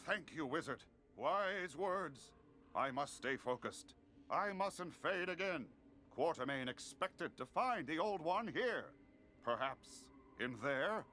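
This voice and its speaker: An actor voicing a naive, philosophical newly-sentient video game being with quaint, formal, wondering phrasing. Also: male voice, fairly high pitch, animated, slow pace, bright, forceful tone, exaggerated voice. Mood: earnest. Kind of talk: video game character acting.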